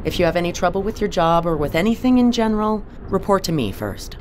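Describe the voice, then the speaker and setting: Woman playing a low-voiced, authoritative starship captain. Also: lively intonation, a little mellow, a little hiss or hum, close to an everyday voice. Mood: businesslike. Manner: measured, businesslike. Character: composed, authoritative woman captain of a colony spaceship. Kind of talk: naturalistic audio drama acting.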